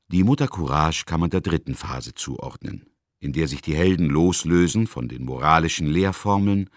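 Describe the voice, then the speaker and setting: A man presenting scripted literary commentary in a measured, articulate and emphatic way. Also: extremely low pitch, no room sound, clean studio sound. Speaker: radio presenter introducing a classic play.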